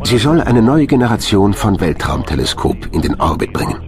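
A man's voice: on the low side, very animated, a little fast. A man reading scripted documentary narration. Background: music.

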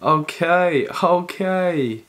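A man with a slightly higher voice hosts a casual music reaction, his throat a little hoarse from a cold. He chats loosely and comments on the track as it plays. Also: lively intonation, extremely slow, noisy background. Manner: chatty, talks over the song with short reactions. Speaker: reaction channel host.

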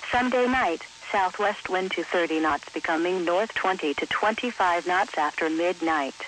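A woman's voice: lowish voice, moderate pace, a bit bright, low-quality recording. A woman's synthetic, evenly phrased voice announcing an automated marine forecast on weather radio. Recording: hissy weather radio broadcast.

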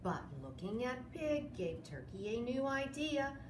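Storytime reader, woman, sixties: high voice, dramatic drawn-out phrasing, noisy room recorded from a few metres. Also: sing-song. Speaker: library storytime host. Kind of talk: children's picture-book read-aloud. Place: small indoor room, seated. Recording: roomy echo, clear background noise.

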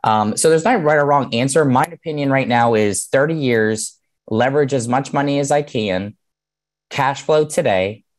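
A man's voice: mid-range voice, moderate pace. A man in his thirties talks through an online seminar from a home office, heard over a video call. His delivery is conversational and explanatory, with frequent "um" and "right?" as he checks in with the audience. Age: 30s. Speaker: mortgage lender teaching a webinar.

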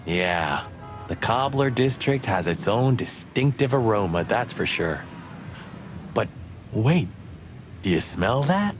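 An actor playing a cocky rooster detective sidekick in a film noir game, sardonic and playful. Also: male voice, on the low side, big pitch swings, slow, long pauses. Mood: musing. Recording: music behind the voice.

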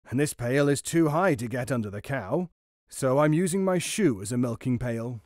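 A man voicing a hearty, scornful dairyman in a storybook, with a booming, mocking manner. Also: expressive, moderate pace, a little mellow, no echo, clean studio sound, natural voice. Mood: matter-of-fact. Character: scornful, hearty male dairyman who mocks others. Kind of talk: storybook character voice.

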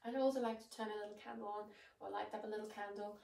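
A woman in her thirties with a blocked nose from a cold, guiding a yoga session in a gentle, soothing way from across a bare living room. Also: medium pitch, steady tone, fast, creaky. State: congested with a cold. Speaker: yoga teacher. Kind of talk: guided yoga instruction. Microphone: far camera. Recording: echoey room, mic far off.

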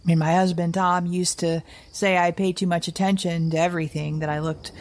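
A middle-aged actress playing an anxious, wry, weary survivor who records a halting, rambling diary in a naturalistic audio drama. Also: female voice, fairly low pitch, little pitch movement, darkish tone, a little hiss or hum, lightly acted. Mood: haunted, grim.

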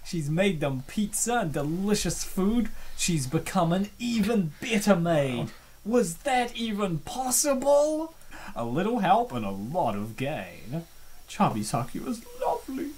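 A playful host with a lively, wide-ranging pitch reads and comments on a webcomic. The delivery is casual and rambling, given to excitable reactions. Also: a little slow, slight background noise. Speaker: comic dub host.

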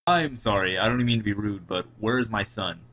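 A man's voice: fairly low pitch, fairly quick, fairly bright tone, lo-fi sound, close to an everyday voice. A man voicing a concerned father, earnest and anxious, in a narrated story. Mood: tense. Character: concerned father, firm but gentle, anxious.

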